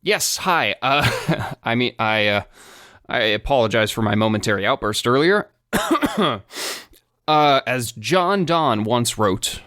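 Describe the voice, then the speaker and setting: An actor playing a creepy, stiffly polite man who speaks with slow, theatrical pauses in an audio drama. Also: medium pitch, lively intonation, bright, forceful tone, dry recording, clean studio sound. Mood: ominous politeness. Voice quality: gravelly, slow and deliberate. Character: creepy formal man, gravelly, quoting poetry.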